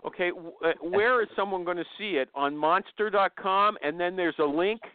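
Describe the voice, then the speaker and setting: A man hosting an informal live interview, blunt and quick to interrupt and steer his guest, heard over a narrow phone connection. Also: high-pitched, clean tone, dry recording. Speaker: host interviewing a guest on a live online show. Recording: narrow, thin phone-line sound.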